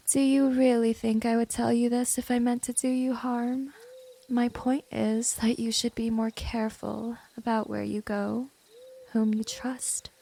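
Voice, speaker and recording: A woman's voice: a little high, warm tone. A woman playing a teasing, domineering vampire in an ASMR roleplay, talking straight to the listener in a condescending, seductive way. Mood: slow, hypnotic. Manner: slow, condescending, seductive, breathy drops into whisper. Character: smooth, teasing, domineering female vampire, gently predatory.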